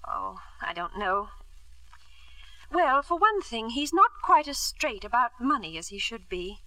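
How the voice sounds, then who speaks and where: Worried gentle middle-aged wife, highish bright voice, earnest n hesitant, 1950s radio play. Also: female voice, sing-song, a little slow, no echo, heavily acted, poor audio. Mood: wistful, worried. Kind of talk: 1950s radio drama acting.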